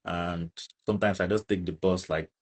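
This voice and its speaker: A young man speaks in a low voice with a Nigerian accent. He sounds like a student giving casual interview answers, informal in his phrasing, and he often checks in with small tag questions. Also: fairly even intonation, normal speed, slightly rough. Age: young adult. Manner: informal, frequent tag questions like "right?" and "you get".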